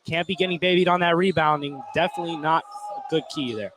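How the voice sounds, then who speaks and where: A man's voice: a little high, quick pace. A man giving casual color commentary on live basketball in short reactions. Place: indoor basketball gym, courtside. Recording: noisy gym ambience. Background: game noise.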